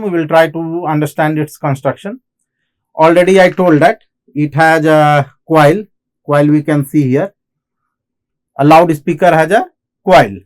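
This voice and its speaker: A man with an Indian accent giving a technical lecture as an instructor, explaining in halting phrases and often repeating himself. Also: a little high, a little mellow. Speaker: engineering lecturer. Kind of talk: classroom lecture on technical material.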